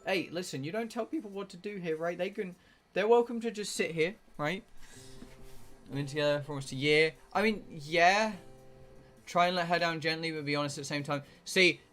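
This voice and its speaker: A British man with a slightly high, bright and forceful voice chats casually to his stream viewers. He is blunt and jokey and swears freely, with music playing behind him. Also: fairly quick. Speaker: live streamer playing a visual novel. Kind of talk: casual rambling chat with viewers.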